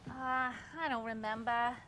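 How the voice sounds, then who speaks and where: An actress playing a weary, evasive young housewife in a theatrical comedy reading, hesitant and flustered. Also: female voice, a little high, flat delivery, a little slow, pushed and bright. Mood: distracted, tired. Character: weary, evasive young 1960s housewife, sweet but frazzled.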